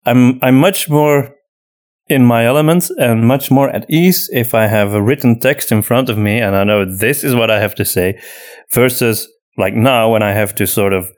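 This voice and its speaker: Man with halting, mixed European-accented English. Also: medium pitch. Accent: mixed European, between British and American. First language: Flemish Dutch. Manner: halting, piecing sentences together. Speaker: podcast co-host and voice actor. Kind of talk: casual improvised podcast talk.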